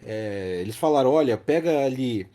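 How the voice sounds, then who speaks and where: A Brazilian man talks through a film as a podcast host. His voice is soft-edged and mellow, with pitch that moves a lot. He explains casually but emphatically, repeating key phrases for weight. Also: lively intonation, normal speed.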